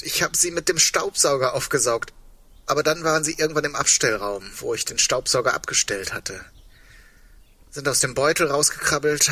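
A man's voice: fairly high pitch, sharp tone, breathy edge. An actor playing a wry, reflective man facing impending doom in a naturalistic radio play, speaking in an understated, rambling way.

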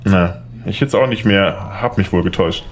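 A man's voice: low-pitched, normal speed, slight background noise, natural voice. A man acting a polite, slightly flustered young lawyer in an audio drama. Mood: friendly.

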